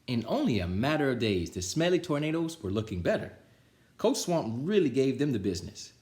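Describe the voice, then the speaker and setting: A man's voice: mid-range voice, very animated, fairly quick, darkish tone. A man reading a children's storybook aloud and chatting casually with viewers. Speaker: storybook reader. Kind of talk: animated read-aloud and casual sign-off. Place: indoors at home. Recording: roomy indoor echo.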